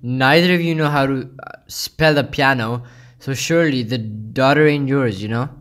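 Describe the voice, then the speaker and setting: A man's voice: mid-range voice, moderate pace, fairly bright tone. A young man from Pakistan, giving sarcastic, rambling comedic commentary on a video. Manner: sarcastic, mocking, rambling with many "like"s.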